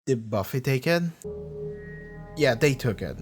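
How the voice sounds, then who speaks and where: A man's voice: medium pitch, expressive, a little slow, music in the background. A young man giving casual, blunt, sweary running commentary as a reaction video host.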